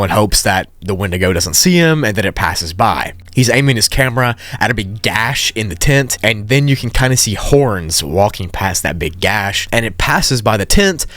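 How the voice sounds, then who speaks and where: A man's voice: fairly low pitch, fairly bright tone, no room sound. A man narrating a story recap in a casual, conversational way with informal asides.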